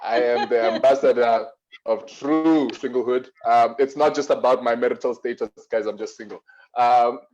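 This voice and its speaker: A man's voice: medium pitch, moderate pace, a little mellow, dull audio. A young man from Johannesburg, introducing himself hesitantly on a video call with many ums. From Johannesburg, South Africa. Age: young adult. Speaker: guest.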